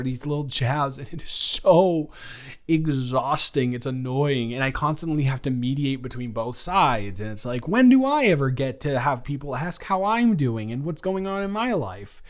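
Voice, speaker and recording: A young adult American man acting out an awkward, talkative best friend in an audio roleplay. His mid-pitched voice runs on in casual, halting rambles, often restarting his own sentences. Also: animated, natural voice. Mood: exasperated. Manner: rambling, halting, self-interrupting. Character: chatty, awkward young male best friend on a road trip. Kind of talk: improvised boyfriend-style audio roleplay, casual one-sided chat.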